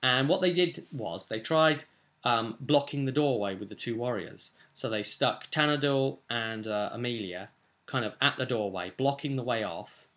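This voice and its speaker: Young man, British accent, bright forceful voice, medium pitch, casual vlog recap, chatty, emphatic storyteller. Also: normal speed. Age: young adult. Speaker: vlogger and game master recounting a session. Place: small indoor home room, seated at a desk.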